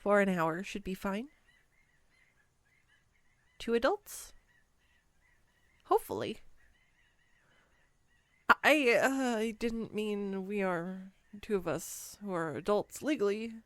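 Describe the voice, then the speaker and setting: A woman playing a clumsy, nervous, giddy young woman on a first date in a romantic audio roleplay, rambling awkwardly and self-deprecatingly with halting stumbles. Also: medium pitch, no room sound. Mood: flustered, embarrassed. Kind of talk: scripted romantic audio roleplay addressed to the listener.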